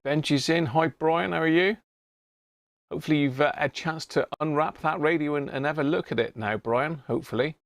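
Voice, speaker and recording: British man chatting casually, slightly creaky voice. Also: a little high, brisk, no room sound, clean studio sound. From United Kingdom. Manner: chatty, informal, matey. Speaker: livestream host. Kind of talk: casual live chat to viewers.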